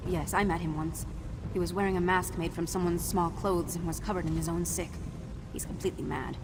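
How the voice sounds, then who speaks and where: An actress playing a wry, guarded undercover agent in a video game, with a dry, clipped delivery. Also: female voice, on the low side, steady tone, brisk, background noise, lightly acted. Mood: wry, sardonic. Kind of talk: video game character acting. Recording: prominent music behind the voice.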